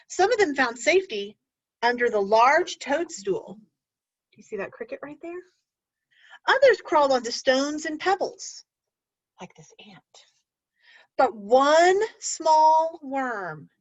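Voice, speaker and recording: A woman teacher reading a picture book aloud to children, pausing to explain words and ask gentle questions. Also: high-pitched, no room sound, low-quality recording.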